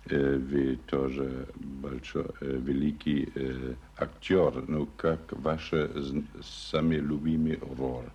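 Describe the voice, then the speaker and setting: A male foreign correspondent, with an extremely deep voice asks questions in halting, hesitant Russian. Faint background noise. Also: little pitch movement, mellow, breathy edge, dull audio. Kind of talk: interview questions.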